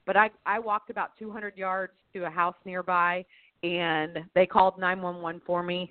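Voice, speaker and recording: A woman's voice: lowish voice, level tone, moderate pace, dry recording. A woman interview guest recounting her own experience in the first person, often repeating phrases as she searches for words. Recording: tinny narrow phone-like sound.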